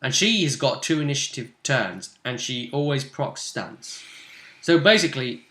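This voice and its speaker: A man's voice: mid-range voice, sing-song, moderate pace, pushed and bright. A man casually recapping a tabletop role-play session as its game master, in conversation. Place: indoor room. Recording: roomy echoing home recording.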